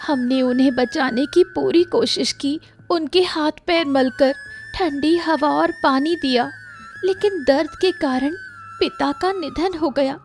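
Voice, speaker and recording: A woman's voice: sits high, slow, faint music behind. A woman voicing a helpless, grieving orphaned daughter in a cartoon story, tearful and plaintive. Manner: plaintive, pleading. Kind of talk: Hindi cartoon story voice acting.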